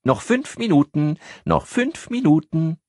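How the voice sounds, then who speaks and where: A man voicing excited circus children calling out announcements in an audiobook. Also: a little high, unhurried, dry recording, studio quality, voice pushed up.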